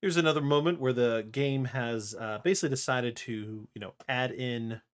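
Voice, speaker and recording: A man's voice: medium pitch, normal speed, smooth. A man giving casual, playful live commentary as he streams a video game, sometimes slipping into goofy chanting. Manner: playful, breaks into goofy rap-style chanting and sound syllables. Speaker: video game livestreamer narrating a speedrun. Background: game music and sound effects.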